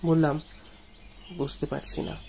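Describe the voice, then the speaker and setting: A man's voice: fairly high pitch, little pitch movement, a little slow, gravelly, darkish tone, a little hiss or hum. A man narrating a ghost story dramatically in the first person, as a storyteller. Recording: music behind the voice.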